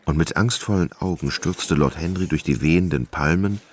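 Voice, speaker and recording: A man's voice: very deep voice, moderate pace. A man reading scripted literary narration as the narrator of a radio drama.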